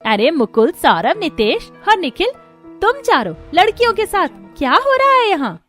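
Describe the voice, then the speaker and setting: A woman plays a greedy middle-aged mother-in-law from a cartoon. Her voice is high and her manner bossy, scolding and sharp-tongued, with music behind her. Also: big pitch swings, normal speed, heavily acted. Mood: shocked, grudging.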